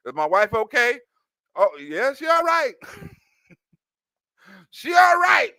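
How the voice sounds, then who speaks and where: A man giving loose, animated comic commentary on a clip, laughing often and acting out little scenes. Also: very high voice, slow, no echo, studio quality. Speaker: streamer reacting to a news clip.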